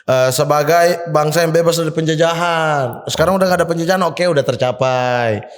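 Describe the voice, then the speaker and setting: Guy on a podcast, joking around, bit high voice, echoey room. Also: fairly quick. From Papua, Indonesia. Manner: joking, laughs often, hesitant fillers. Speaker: guest comedian and actor. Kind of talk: casual podcast chat.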